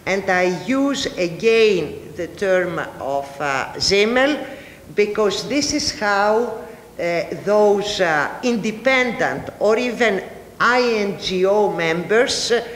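A middle-aged woman with a Greek accent, a native Greek speaker, giving an academic panel presentation. She speaks haltingly, with frequent filler pauses. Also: mid-range voice, animated, a little hiss or hum. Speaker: university lecturer presenting research. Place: large ballroom, panel table. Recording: echoey hall with long reverb.

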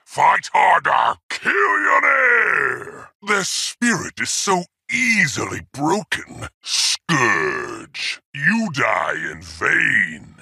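Gravelly, menacing rogue AI warlord. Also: male voice, on the high side, wildly swooping pitch, drawn-out words, sharp tone, dry recording, clean studio sound, heavily acted. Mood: menacing, commanding. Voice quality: gravelly, harsh. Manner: haughty, bellicose, emphatic. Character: menacing, boastful rogue alien AI warlord, contemptuous of the weak. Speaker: game announcer. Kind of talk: video game announcer voice lines.

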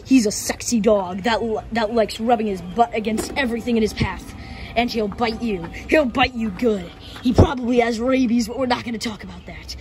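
A woman's voice: a little high, normal speed, music in the background, natural voice. A woman playing a brash, shady dog seller giving crude, flippant sales patter in an improvised comedy skit, recorded outdoors with amateur sound.

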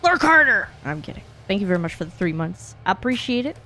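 A woman's voice: medium pitch, sing-song, fast, bright, forceful tone, soft background music. A young woman chatting casually and playfully as she streams a game. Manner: playful, chatty, breaks into singing and silly sounds. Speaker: streamer playing a horror game. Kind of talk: casual gaming livestream chat. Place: indoor streaming room at home.

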